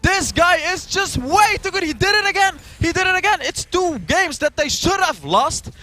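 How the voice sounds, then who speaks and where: Upbeat male color caster, high bright voice, explains the plays. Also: lively intonation, normal speed. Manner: enthusiastic, explains replays. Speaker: esports analyst. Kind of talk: color commentary.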